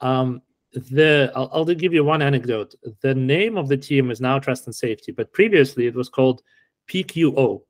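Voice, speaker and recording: A middle-aged man from Ukraine answering interview questions in a relaxed, explanatory way with frequent fillers. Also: medium pitch, normal speed, no room sound. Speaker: podcast guest.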